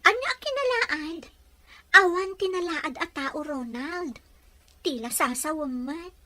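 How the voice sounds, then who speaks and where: A young Filipino woman in love, as a radio actress plays her, has a high, bright voice with a plaintive, expressive delivery. She is an earnest, defiant daughter in a radio drama, over faint noise. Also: a little slow, heavily acted. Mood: indignant, loving. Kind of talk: Ilocano radio drama acting.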